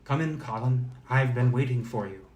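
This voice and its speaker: Male reader as storybook old wise woman: imperious, cryptic, brisk, in a roomy echo. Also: medium pitch, a little mellow, lightly acted. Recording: roomy, echoing sound.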